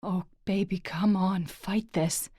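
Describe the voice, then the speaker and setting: A woman's voice: medium pitch, steady tone, slow pace, mellow, dry recording, clean studio sound. A woman voicing an intense, protective young man who speaks emphatically. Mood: desperate. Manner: pleading, emphatic.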